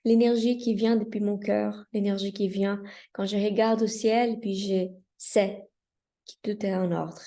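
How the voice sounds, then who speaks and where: Gentle Brazilian woman with gravelly warmth. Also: medium pitch. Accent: Brazilian Portuguese. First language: Portuguese. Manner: slow, soft and earnest, with frequent hesitations. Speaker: Reiki teacher and channel host. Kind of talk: spiritual welcome address to viewers. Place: outdoors in the mountains.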